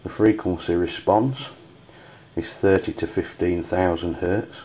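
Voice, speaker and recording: An Englishman talks through an unscripted product review in a low, flat voice. His delivery is hesitant and halting. The recording is dull and lo-fi. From United Kingdom. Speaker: amateur radio reviewer. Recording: dull lo-fi audio with background noise.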